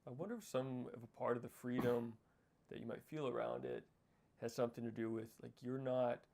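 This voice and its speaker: A man with a very gravelly, fry-heavy voice hosts a relaxed interview. He keeps the guest going with quick, encouraging affirmations and easy follow-up thoughts. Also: mid-range voice. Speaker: interviewer host. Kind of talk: casual interview.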